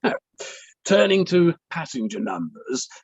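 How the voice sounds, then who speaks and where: Man playing a deliberate barrister, dull dry recording. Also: on the high side, big pitch swings, husky, dry recording, full character voice. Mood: politely probing. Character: male inquiry barrister, deliberate, politely probing cross-examiner. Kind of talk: table read of an inquiry play.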